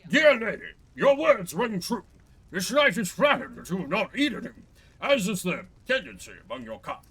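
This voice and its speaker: Shrill raspy knight critter, super pompous and chivalrous, game voice. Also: male voice, sing-song, normal speed, a bit bright, heavily acted. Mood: proud. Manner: grandiose, archaic third-person declaiming.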